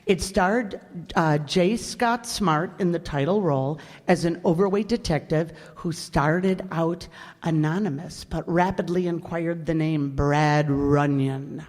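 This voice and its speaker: A woman with a slightly low voice gives an informal introduction as a show's director and host. She addresses an audience in a hall with long reverb. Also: slow, darkish tone. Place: hall, live stage performance.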